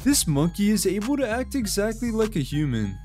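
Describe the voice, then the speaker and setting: The high, lively male narrator you would hear over music in an online compilation of short viral clips. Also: brisk. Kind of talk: scripted reaction narration.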